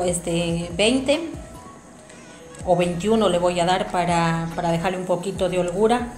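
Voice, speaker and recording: A woman's voice: on the low side, darkish tone. A woman giving an informal hands-on craft tutorial, explaining each step directly to viewers. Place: small domestic room indoors. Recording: roomy echo, music behind.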